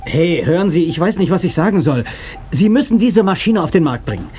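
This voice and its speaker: A man's voice: high voice, expressive, fairly quick, dark tone. An actor playing a defiant, sceptical young man in a German radio play, blunt and sarcastic. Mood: wary, challenging. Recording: clear background noise, cassette transfer.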